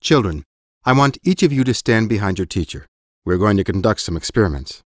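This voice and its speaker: An authoritative mage teacher, dry recording. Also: male voice, fairly low pitch, expressive, fairly quick, dry recording. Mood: instructive. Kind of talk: audiobook drama acting.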